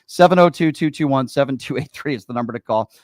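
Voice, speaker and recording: A man's voice: medium pitch, quick pace, dry recording. Blunt male talk radio host, dry close sound. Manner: blunt, confrontational. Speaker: radio call-in show host. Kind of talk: opinionated political talk radio.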